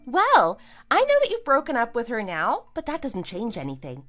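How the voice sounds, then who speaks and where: Woman doing a fed up wife in an audio drama, swoopy high voice, smug and sarcastic, faint music under it. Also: huge pitch swings, normal speed, a bit bright. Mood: firm, accusing. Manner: sarcastic, taunting, emphatic. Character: wronged wife, scornful and triumphant, kicking out cheating husband. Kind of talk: amateur audio drama acting.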